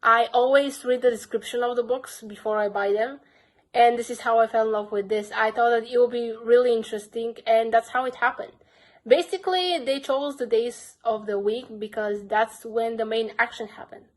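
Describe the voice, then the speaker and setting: A woman's voice: fairly high pitch, steady tone, moderate pace. A young woman with a Bulgarian accent, earnestly reviewing her crime book collection in a casual vlog. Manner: earnest, simple phrasing with frequent "basically". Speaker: book vlogger.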